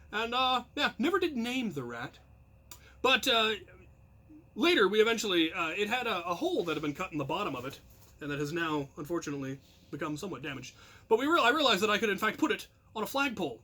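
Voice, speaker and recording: A man with a high, bright, forceful voice hosts a casual storytelling video. He rambles through his anecdotes in a self-deprecating way, leaning on words for animated emphasis. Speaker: storyteller, channel host.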